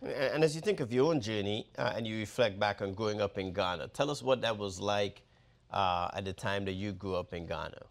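A man's voice: fairly low pitch, a little fast, gravelly. A man hosting an interview podcast, asking questions with many fillers and restarts. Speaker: university president, host.

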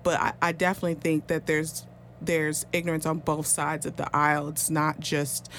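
A man's voice: fairly high pitch, completely flat delivery, normal speed, fairly bright tone, slight background noise. Earnest man speaking on a panel. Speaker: councilman. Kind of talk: panel discussion.

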